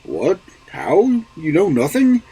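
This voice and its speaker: A man voicing a stern, blustering official secretary in a folk tale reading, with a husky voice. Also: on the high side, wildly swooping pitch, slow, mellow, pitched above the performer's own voice, full character voice. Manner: stern, demanding.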